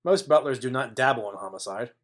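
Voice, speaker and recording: A man's voice: medium pitch, fast, fairly bright tone, natural voice. A man playing a wry, hard-boiled private detective who narrates a noir audio play in a dry, sardonic way. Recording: background music under the voice.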